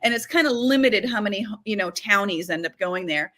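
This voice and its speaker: A woman from Massachusetts, a psychic medium guesting on a talk show, telling stories in a lively, animated way and laughing often, with no regional accent left. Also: medium pitch, brisk. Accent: none, lost her Boston-area accent. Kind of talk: casual interview chat.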